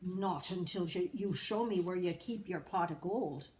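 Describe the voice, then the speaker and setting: A woman's voice: on the low side, a little slow, a little mellow, natural voice. A woman voicing a lazy, self-pitying Irish husband in a storybook, whining dramatically. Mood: defiant.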